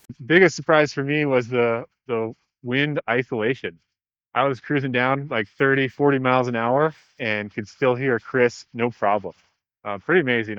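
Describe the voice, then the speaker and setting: A man talks through a product review in a casual, laid-back way. His voice sits at a medium pitch. The sound is dry, with very little room in it, as in a simple vlog voice track. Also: a bit bright, dry recording, highs cut off. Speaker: host of a ski gear review.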